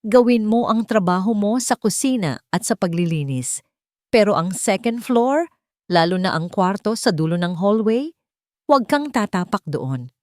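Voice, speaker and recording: A woman's voice: mid-range voice, lively intonation, normal speed, a little mellow, no room sound, clean studio sound, voice pushed up, close to an everyday voice. A woman voicing a cold, brooding rich man who gives curt commands in an audio storybook. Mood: cold warning. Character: cold, stern, brooding wealthy master of the mansion, man.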